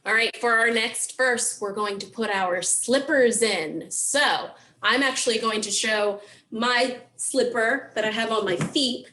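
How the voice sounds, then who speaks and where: Woman, children's library presenter, fairly high voice, unhurried delivery, simple encouraging talk for little kids. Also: sharp tone. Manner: simple, encouraging explanations aimed at young children. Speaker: children's library program host. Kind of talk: preschool story time, songs and book talk.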